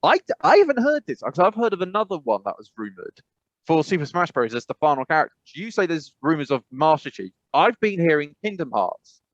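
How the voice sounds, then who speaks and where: A man's voice: on the high side, sing-song, fairly quick, no room sound, highs cut off. A man with an English accent co-hosting a gaming podcast over a video call, talking casually with many restarts and fillers. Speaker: podcast co-host. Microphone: remote call mic.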